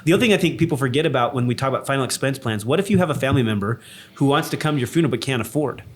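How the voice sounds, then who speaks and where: A man's voice: mid-range voice, expressive. A man co-hosting an insurance chat, eager and upbeat, steering the conversation from topic to topic. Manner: quick, enthusiastic, steers the topics. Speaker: insurance broker co-host.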